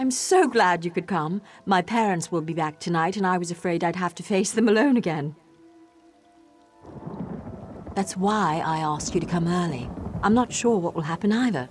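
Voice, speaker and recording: A woman's voice: fairly low pitch, brisk, slight background noise, natural voice. An actress voicing an earnest, sheltered young elf woman in a fantasy game, emotionally open and dramatic. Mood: eager, confiding.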